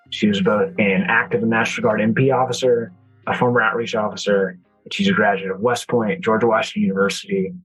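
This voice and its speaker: A man's voice: deep voice, a bit bright, breathy edge, poor audio. A man hosting a podcast, introducing and interviewing his guest in a spoken interview style. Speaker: podcast host.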